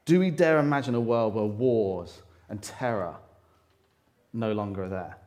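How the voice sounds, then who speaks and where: A man with a loud voice preaching a sermon to a congregation, talking earnestly with frequent pauses and restarts. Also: fairly low pitch. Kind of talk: church sermon. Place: church hall, live congregation. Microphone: handheld or stand microphone.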